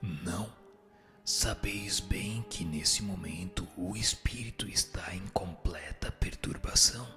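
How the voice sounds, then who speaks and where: A man playing a solemn spirit who answers questions with moral authority, in a measured, formal, didactic radio drama reading. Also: deep voice. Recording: music bed under the voice.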